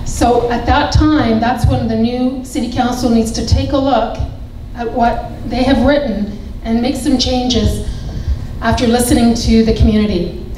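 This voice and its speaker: A woman running for council, giving a measured, explanatory answer in a debate. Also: a little high, fairly even intonation, dark tone, a little hiss or hum. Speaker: election candidate. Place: public debate hall. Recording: echoey hall, distant.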